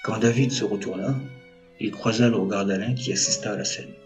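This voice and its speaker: A man narrating a scripted audio drama as a storyteller, in measured short phrases. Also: on the low side, steady tone, a little mellow. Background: music.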